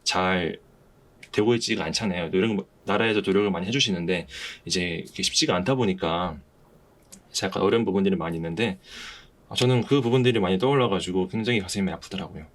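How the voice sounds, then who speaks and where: Low-voiced man hosting a casual podcast. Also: quick pace, slight background noise. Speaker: podcast host. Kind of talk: casual podcast discussion of a play.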